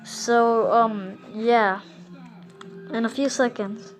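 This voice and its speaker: A woman improvising a scary story in a casual, halting and repetitive way. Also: fairly high pitch, steady tone, soft background music.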